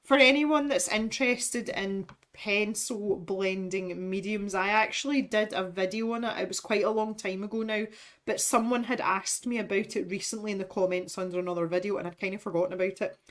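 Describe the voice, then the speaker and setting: Lady rambling through an art box unboxing on stream, chatty, laughs a lot, mid pitch. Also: fairly even intonation, a little fast. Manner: chatty, rambling, laughs often, reads product blurbs aloud. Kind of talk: casual unboxing livestream chat.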